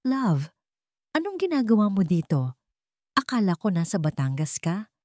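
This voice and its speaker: A woman's voice: mid-range voice, very animated, normal speed, darkish tone, no echo, studio quality, close to an everyday voice. A woman storyteller voicing a cold, mocking, two-faced wife in an audio drama, sounding insincere and sneering. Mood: feigned concern.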